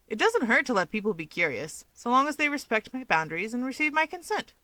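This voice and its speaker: A woman's voice: on the high side, big pitch swings, fast talker, pushed and bright, no echo. A woman voicing an upbeat, self-assured young male hero with wings, explaining things chattily in an audio story. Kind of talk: fan fiction audiobook character dialogue.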